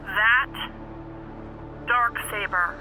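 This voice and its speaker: A woman's voice: mid-range voice, extremely slow, background noise. A woman playing a stern, defiant warrior in a fan audio drama. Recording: score under voice.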